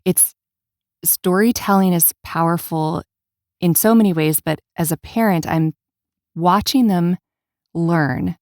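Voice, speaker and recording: A woman with a notably low voice, hosting an interview podcast. She speaks conversationally, reflective and measured, in a close, dry recording. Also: darkish tone, no room sound. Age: adult, parent of children. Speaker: podcast host.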